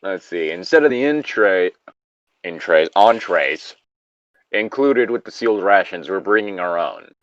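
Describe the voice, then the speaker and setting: A low-voiced man reads a story aloud with very lively intonation. He drops in chatty, joking asides as he goes. The sound is close and dry, a little dull and lo-fi. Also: a little slow, no echo. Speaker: co-host reading stories aloud. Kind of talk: story reading with casual banter.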